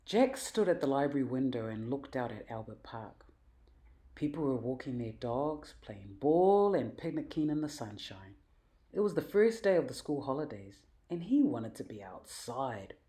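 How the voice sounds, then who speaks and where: A woman reading a children's story aloud in an animated, emphatic, expressive way. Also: very deep voice, moderate pace. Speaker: storybook reader.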